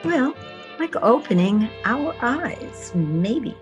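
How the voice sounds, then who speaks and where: A woman acting the wry, romantic leading lady of an old-time radio comedy. She takes her lines slowly, with lively swings of pitch. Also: music in the background, full character voice. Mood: tender, coy.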